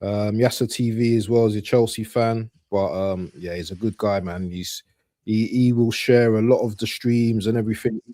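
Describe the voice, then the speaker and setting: A man co-hosting a football stream over a video call with his camera off, chatting casually, joking, and giving shout-outs. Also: lowish voice, fairly even intonation, moderate pace, no echo, clean studio sound. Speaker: co-host on the stream.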